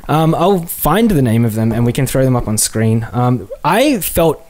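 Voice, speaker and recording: Male podcast co-host, mid-pitched, laid-back but keen, casual and sweary delivery. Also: sing-song, moderate pace, darkish tone, a little hiss or hum. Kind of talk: casual chat.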